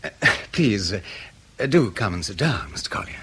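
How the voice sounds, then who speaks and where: An actor playing a polite, evasive English intelligence interrogator in a radio drama, measured and officious with a steely edge. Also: male voice, lowish voice, sing-song, background noise. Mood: polite, insistent.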